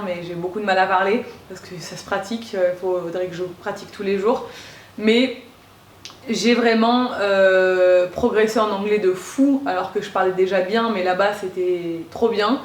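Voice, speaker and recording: A woman's voice: mid-range voice, fairly even intonation, moderate pace. Young woman vlogger, casual storytime, lots of fillers, echoey room. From Corsica. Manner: chatty, lots of fillers and slang. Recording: roomy echo.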